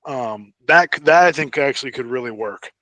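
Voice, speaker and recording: A man's voice: mid-range voice, expressive, moderate pace, pushed and bright, no echo, poor audio. A man co-hosting a podcast, speculating casually in conversation. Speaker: podcast co-host. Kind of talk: casual speculative film and TV discussion.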